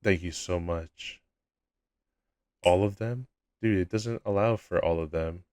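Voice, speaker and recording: A young man with a low voice, chatting casually with his live-stream chat in a laid-back, rambling way at a desk mic at home. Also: unhurried, darkish tone, dry recording.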